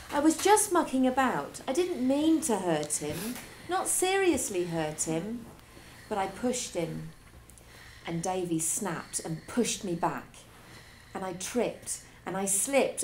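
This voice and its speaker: A female teacher reading a children's story aloud, with expressive delivery and dramatic pauses. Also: medium pitch, a little hiss or hum, rough recording.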